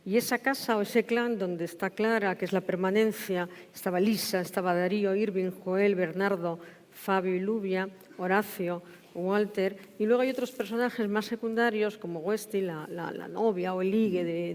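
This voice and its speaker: A woman's voice: medium pitch, steady tone, normal speed, a little mellow. A woman presents at a literary event in a mid-range voice. She talks conversationally, doubling back to repeat or fix words. A long hall reverb surrounds her. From Spain. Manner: repeats and corrects herself. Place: large theatre, indoors.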